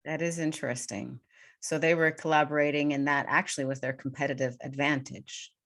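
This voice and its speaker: A woman's voice: low-pitched, fairly even intonation, a little fast, fairly bright tone. A woman interviewer in a conversational discussion, thoughtfully probing and summing up what she is told. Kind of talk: conversational interview, recapping and questioning.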